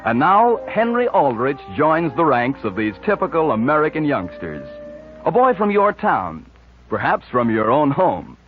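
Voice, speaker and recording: A man's voice: a little high, very animated, a little slow, faint music behind. A man working as a radio announcer, reading introductions and sponsor copy in 1940s style. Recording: dull, hazy 1940s radio broadcast.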